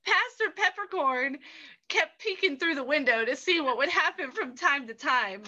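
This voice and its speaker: A woman narrator with a high, bright voice. She tells an improvised tale like a storybook, sounding amused as she makes it up. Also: animated, moderate pace, muffled recording.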